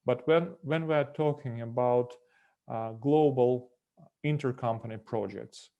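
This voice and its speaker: A man with a Russian accent explaining as an expert guest, in a measured, formal and methodical manner. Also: medium pitch, phone-quality sound. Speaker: senior lawyer, expert guest. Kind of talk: expert explanation in an online interview.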